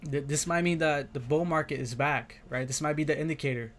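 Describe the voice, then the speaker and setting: A man with an American accent and a slightly high voice hosts a casual livestream. He speaks informally, circling back over his points and often tagging on a quick check-in with his listeners. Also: fairly bright tone. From Brazil, moved to the United States as a young child. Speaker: livestream host, crypto trader.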